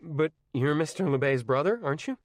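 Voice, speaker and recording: A man's voice: fairly high pitch, brisk, no echo, clean studio sound, pitched above the performer's own voice, lightly acted. A man voicing a polite, stubborn teenage boy who pleads insistently.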